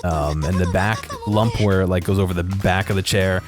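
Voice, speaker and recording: A man's voice: deep voice, moderate pace, music in the background. A man giving casual, unscripted video game commentary, hesitantly thinking aloud as he goes.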